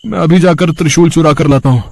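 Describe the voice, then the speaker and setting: A man's voice: a little high, fast, creaky, a little mellow. Man playing a grumbling, luckless village thief. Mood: worried, pleading. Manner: grumbling, self-pitying. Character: sullen, luckless village thief, easily frightened husband. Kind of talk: Hindi moral-story cartoon voice acting.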